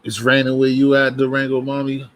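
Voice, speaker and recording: A man's voice: mid-range voice, monotone, fairly quick, no room sound. A man from Boston hosting his own livestream, chatting loosely with viewers and greeting them as they come in. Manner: loose, rambling talk to viewers, frequent restarts. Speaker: livestream host. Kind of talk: casual livestream chat.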